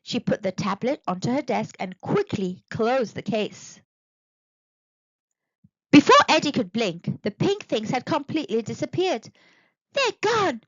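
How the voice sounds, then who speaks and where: A woman narrating a children's storybook in an expressive, deliberate read-aloud manner. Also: a little high, dry recording, lo-fi sound. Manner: animated, deliberate.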